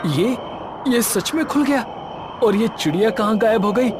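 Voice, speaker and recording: Man acting an earnest, very expressive young man. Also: high voice, normal speed, background noise. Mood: amazed. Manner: expressive, stammers when frightened. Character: earnest, good-hearted, easily startled young man. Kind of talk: audio story character acting. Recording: music bed behind the voice.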